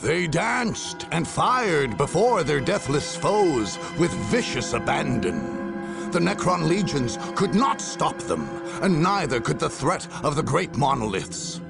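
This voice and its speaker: Grim war chronicler, theatrical game narration, gravelly creak, swooping dramatic intonation, ominous, music behind. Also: male voice, fairly high pitch, big pitch swings, slow, exaggerated voice. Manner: theatrical, ominous, savoring words. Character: grave, theatrical male chronicler of dark wars. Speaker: cutscene narrator.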